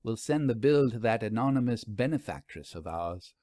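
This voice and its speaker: A man's voice: medium pitch, expressive, normal speed, warm tone, no echo, studio quality, close to an everyday voice. A man voicing a bold, wry, bossy woman who investigates ghosts, in an audiobook story. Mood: decisive. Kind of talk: audiobook character dialogue.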